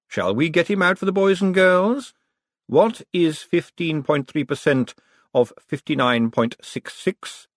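A man voicing a dryly witty, commanding ruler in an audiobook, measured and coolly in control. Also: on the high side, lively intonation, no room sound, clean studio sound, voice pushed up. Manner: measured, deliberate, authoritative.

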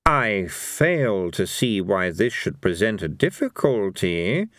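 A man voicing a smug, menacing god of gambling, speaking deliberately and with condescension in a storybook reading. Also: fairly low pitch, sing-song, fairly bright tone, no room sound, clean studio sound, full character voice. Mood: smug, threatening.

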